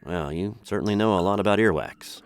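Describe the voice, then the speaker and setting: An actor playing a bewildered, polite American captive in a comic radio sitcom. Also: male voice, fairly low pitch, a little fast, smooth, dry recording, natural voice. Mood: polite curiosity. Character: bewildered, polite American man chained in a dungeon. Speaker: narrator star of the show.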